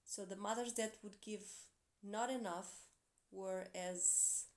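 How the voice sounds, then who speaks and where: A woman with a Portuguese accent, English not her first language, reads tarot in an earnest, encouraging way. Her pitch sits in the ordinary middle for a woman, and she drops into soft, quiet asides now and then. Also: fairly even intonation, slow. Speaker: tarot reader. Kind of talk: tarot reading for a zodiac sign.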